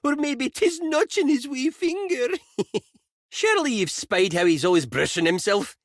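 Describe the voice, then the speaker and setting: Male actor as brash elf lass, earthy dialect, teasing, highly animated intonation, dry studio sound, fantasy game. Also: unhurried, no room sound, heavily acted. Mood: mischievous. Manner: folksy, teasing dialect speech. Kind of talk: scripted fantasy video game dialogue.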